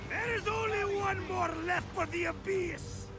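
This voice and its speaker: A man's voice: very high-pitched, unhurried. A man voicing a male ship crewman in a video game, barking urgent battle orders. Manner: barked commands, shouting. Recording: game audio under loud music.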